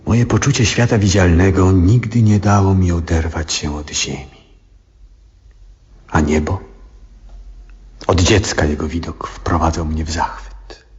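An old, dying astronomer in a radio play, with an extremely low, breathy voice. He muses slowly and wearily, full of quiet awe, often sinking to a hush. The old recording sounds dull and muffled. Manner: slow, pensive soliloquy with rhetorical questions. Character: weary old male astronomer near death, reflective, awed. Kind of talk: 1970s Polish radio drama acting.

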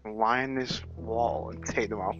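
A man's voice: fairly low pitch, slow, a bit bright, highs cut off, soft background music. A young man chatting casually during an improvised game roleplay. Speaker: gamer in roleplay series.

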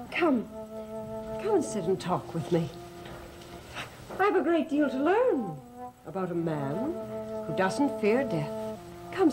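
Sly grande dame: poised, arch, widely swinging intonation, music underneath. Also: female voice, mid-range voice. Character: cool, elegant, sly society lady. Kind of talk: 1960s gothic soap opera acting.

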